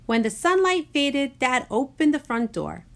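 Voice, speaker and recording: A woman reads a children's story aloud at an unhurried pace. Her pitch swings widely and she shapes each sentence with deliberate expression. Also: sing-song, gravelly. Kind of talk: animated picture-book read-aloud.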